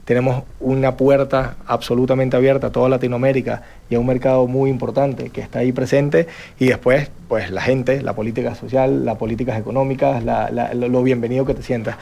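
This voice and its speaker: Venezuelan male panelist, relaxed anecdotal delivery, middle pitch. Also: fairly quick, vocal fry. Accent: Venezuelan Spanish. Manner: conversational, self-correcting, anecdotal. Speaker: panel guest, real estate executive. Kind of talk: round-table discussion.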